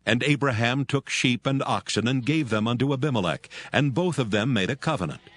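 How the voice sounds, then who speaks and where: A man narrating a dramatized scripture reading in an even, measured way. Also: medium pitch, no echo. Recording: music bed behind.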